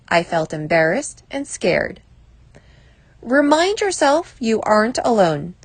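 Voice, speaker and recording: A woman reading a self-help article aloud as scripted narration, in a measured, clearly phrased way. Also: fairly high pitch, no echo.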